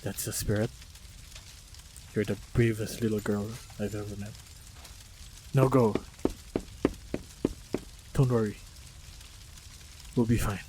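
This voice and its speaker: A man acting in an audio roleplay as a composed, protective monster hunter, speaking in a measured, unhurried way. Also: lowish voice, steady tone, dark tone, a little hiss or hum, poor audio. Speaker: voice actor playing a monster hunter. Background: faint sound-effect ambience.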